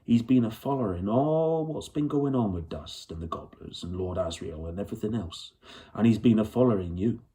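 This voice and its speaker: A man voicing a kindly, plain-spoken old leader in a children's storybook, quietly commanding and direct, with folksy rustic grammar. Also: lowish voice, lively intonation, moderate pace, natural voice. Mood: confiding.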